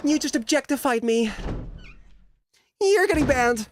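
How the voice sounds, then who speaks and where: A man's voice: very high-pitched, expressive, measured pace, a little hiss or hum. A young adult man livestreaming a video game, chatting casually and playfully with his viewers, teasing and swearing lightly. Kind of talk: casual chat while playing a horror game, reading and answering chat.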